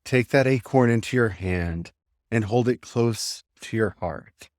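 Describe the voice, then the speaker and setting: A man leading a guided meditation, giving gentle instructions in short phrases with unhurried pauses. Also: lowish voice, no room sound, clean studio sound.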